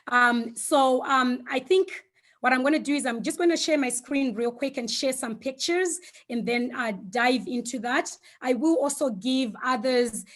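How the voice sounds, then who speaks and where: A woman, a Shona speaker, giving an informal online presentation in a reflective, hesitant way, often pausing mid-thought. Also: sits high, fairly bright tone. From Zimbabwe. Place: online video call.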